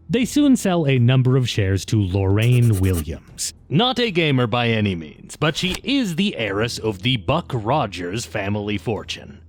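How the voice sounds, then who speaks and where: A man's voice: mid-range voice, darkish tone. A man reading scripted documentary narration as the narrator of a video essay.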